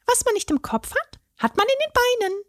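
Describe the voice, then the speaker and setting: A woman voicing a tiny, indignant female elf who is a ballet dancer, with a high, scolding voice. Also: very animated, brisk, a bit bright, slight background noise, heavily acted. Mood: cheeky.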